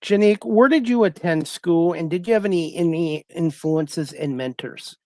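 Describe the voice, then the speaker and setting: A man's voice: on the high side, moderate pace, darkish tone, dry recording. A man hosting a talk show, asking his guest questions and adding friendly joking asides. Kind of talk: interview questions.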